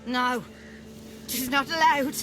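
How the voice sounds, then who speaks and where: A woman's voice: high voice, fairly even intonation, takes it slowly, background noise. A woman playing a stern, protective old village grandmother in a fantasy video game, brooding and a little eerie. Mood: angry scolding. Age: older. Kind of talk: video game character acting.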